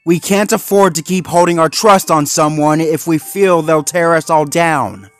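Casting: accusing, hot-tempered cartoon dog man performing, higher than his natural voice, emphatic delivery, faint music. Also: big pitch swings, a little slow, fairly bright tone, no echo. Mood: insistent, distrustful. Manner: confrontational, emphatic. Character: angry, bitter, accusing male cartoon Labrador pup.

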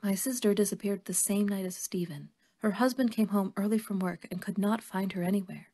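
A woman playing a kindly, worried older woman who believes in fairies, gentle and motherly in an audio drama. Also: mid-range voice, brisk, close to an everyday voice. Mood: sorrowful.

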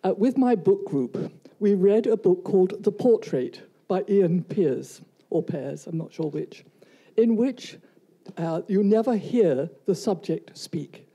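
Husky, dark-toned woman. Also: mid-range voice, lively intonation, takes it slowly. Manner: storytelling, leading into a question. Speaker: audience member asking a question. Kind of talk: audience question. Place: college event hall with an audience.

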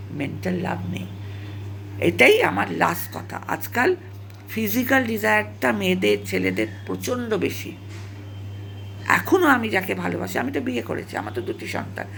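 A woman tells a personal story in an emotional, dramatic monologue, halting and repeating phrases for emphasis and dropping in English words. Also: on the low side, huge pitch swings, vocal fry, a bit bright, slight background noise. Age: 70s. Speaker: storyteller recalling own past.

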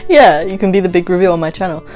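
A woman's voice: lowish voice, racing, faint music behind. A woman in her twenties telling a story in casual, giggly chat, as a vlog host recording at home. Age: 20s. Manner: animated, giggly. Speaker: vlogger, host. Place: small bedroom, indoors.

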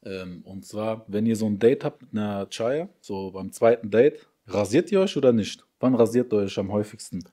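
Casting: young man, low, dark and husky, cheeky contestant. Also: normal speed, few pauses. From Frankfurt. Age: 20s. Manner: cheeky, boastful. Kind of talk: casual chat.

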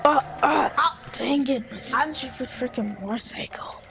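A gamer improvising a video game roleplay, excitable, exclaiming and muttering along while playing. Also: high voice, moderate pace, gravelly. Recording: noisy home gameplay recording.

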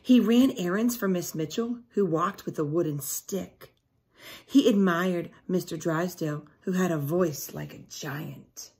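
A middle-aged woman reads a picture book aloud to children, deliberately, pausing to ask them questions. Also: fairly low pitch, a little mellow. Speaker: teacher reading aloud to children. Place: small indoor room at home.

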